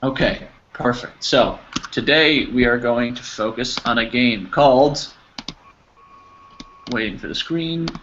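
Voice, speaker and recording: A man teaching an English class over a video call, patient and encouraging, explaining slowly with examples. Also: mid-range voice, a bit bright. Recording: slightly dull audio with faint noise.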